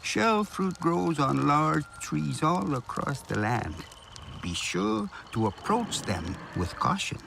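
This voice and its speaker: An exacting old alien village mentor from a video game. The voice is gravelly and fairly high for a man, swinging widely in melody as it slowly gives careful instruction. Faint ambient noise sits behind it. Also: very animated, full character voice. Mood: helpful. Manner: deliberate, instructive, storyteller-like. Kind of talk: video game character acting.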